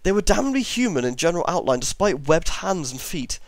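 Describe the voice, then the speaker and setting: A man's voice: fairly high pitch, big pitch swings, brisk, dry recording. A man narrating a horror story as an amateur reader, now and then stumbling over long words and correcting himself.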